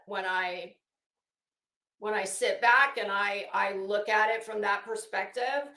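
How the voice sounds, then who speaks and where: A woman's voice: medium pitch, creaky, fairly bright tone, reverberant. A woman livestream host giving casual, sardonic commentary with theatrical, emphatic readings. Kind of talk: casual commentary with dramatic reading of a legal document.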